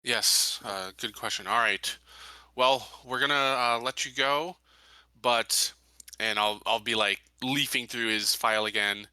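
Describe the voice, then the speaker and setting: A man playing a tabletop roleplaying game, speaking casually and haltingly as a questioning sheriff. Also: mid-range voice, sharp tone, clear, resonant tone, no echo, low-quality recording.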